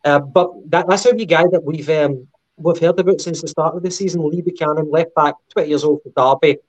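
A man co-hosting a podcast, going through football news in a measured, casual way with lots of ums and uhs. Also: fairly high pitch, steady tone, poor audio. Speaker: podcast co-host.

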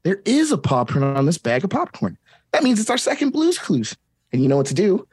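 A woman's voice: fairly low pitch, huge pitch swings, brisk, a little mellow, husky, dry recording, clean studio sound, full character voice. A woman reading the part of a cheerful male kids' TV host who asks viewers questions. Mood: upbeat. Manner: upbeat and encouraging, asks the kids questions. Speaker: table read player.

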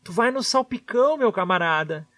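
A man's voice: sits high, expressive, moderate pace, sharp tone. A man with a Brazilian accent delivering a mocking, theatrical comic monologue. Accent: Brazilian Portuguese.

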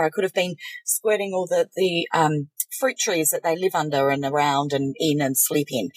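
A woman's voice: medium pitch, breathy edge, no room sound. A woman with an Australian accent co-hosting a casual chat, talking in a confiding, earnest way. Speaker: co-host. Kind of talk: casual chat between co-hosts.

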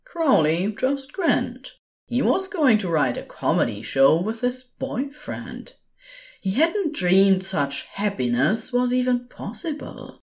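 A woman narrating scripted fan fiction as an expressive storyteller. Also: medium pitch, slow pace.

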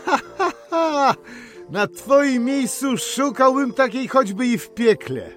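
An actor playing a jovial, teasing older apothecary and father in a period radio drama, with theatrical, drawn-out delivery. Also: very high-pitched, animated, a bit bright, faint music behind, exaggerated voice. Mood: amused, teasing.

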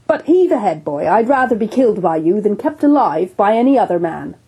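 A woman's voice: mid-range voice, big pitch swings, a little fast, warm tone, slightly rough, full character voice. A woman voicing a jovial, teasing one-armed English sea captain in a storybook reading. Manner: boisterous, teasing, hearty.

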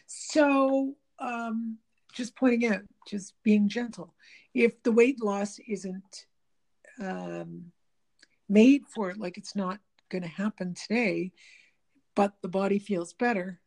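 A woman's voice: medium pitch, slow pace, gravelly, a little mellow. A woman with a slightly nasal voice in casual conversation, rambling with many restarts. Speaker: guest talking about diet and the body.

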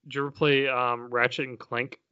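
Bright, clear-voiced man, hesitant podcaster. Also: medium pitch, little pitch movement, normal speed, dry recording, dull audio. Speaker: podcast co-host. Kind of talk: casual chat about video games and movies.

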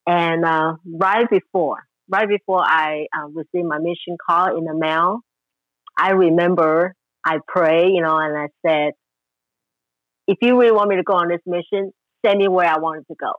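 A woman's voice: lowish voice, fairly even intonation, normal speed, no echo, dull audio. A woman from Taiwan in her forties, a guest telling her story with many fillers. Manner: warm storytelling, frequent fillers. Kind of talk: interview conversation.

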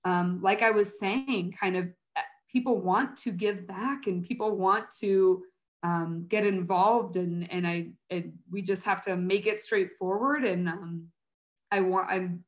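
Chatty, earnest American woman. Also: medium pitch. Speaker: interview guest, nonprofit coordinator and mentor. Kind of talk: conversational interview answers.

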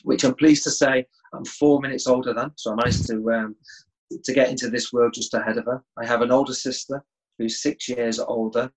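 A middle-aged man, chatting in a relaxed way as an interview guest from home over a video call. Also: mid-range voice, fairly quick, poor audio. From England. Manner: relaxed, easygoing with chuckles and restarts. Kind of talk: casual interview chat.

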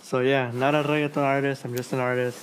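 A man's voice: mid-range voice, little pitch movement, quick pace, a little hiss or hum. A man from Mexico, a musician guest on a podcast, answering in a laid-back way with short replies and easy laughs. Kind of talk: casual interview chat.

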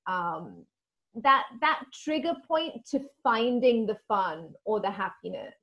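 A woman host sums up her guest's points in a reflective way before posing a question. Her voice sits at a middle pitch for a woman. The recording sounds a bit dull and lo-fi. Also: big pitch swings, slow. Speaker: interviewer, host. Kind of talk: interview questions.

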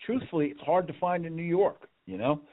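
A man's voice: on the high side, fairly quick. A man telling rambling stories as a guest in a casual interview, often repeating words. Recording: tinny phone-like line.

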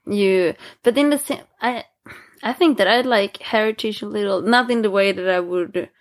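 Woman, Swedish accent, mid-pitched voice, hesitant, lots of restarts, casual chat. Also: normal speed. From Sweden. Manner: hesitant, many restarts and fillers. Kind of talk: casual conversation.

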